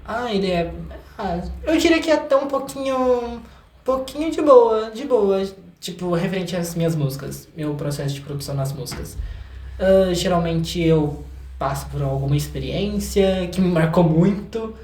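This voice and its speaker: A man's voice: high voice, lively intonation, normal speed, a little mellow. A young Brazilian man chats as an interview guest in an echoey room. His talk is informal and slangy, with a self-joking streak. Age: young adult. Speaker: guest, singer-songwriter. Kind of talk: casual interview chat.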